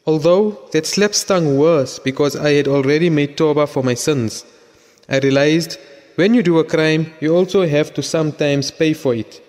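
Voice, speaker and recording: A man's voice: on the high side, moderate pace, darkish tone, in a large hall, lightly acted. A young man playing an earnest teenage son. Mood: reflective. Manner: earnest, reflective in narration. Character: teenage son Osman, brother and reflective narrator. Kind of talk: audio drama acting and narration.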